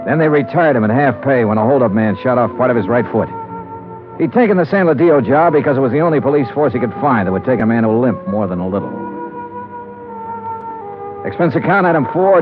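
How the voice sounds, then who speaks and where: A man plays a tough, wry American investigator from golden-age radio. His wording is terse and sardonic, his pitch moves up and down freely, and the sound is a tinny old broadcast with music. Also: animated, fairly quick, lightly acted. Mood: cool narration. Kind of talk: 1940s-50s radio detective acting and scripted narration.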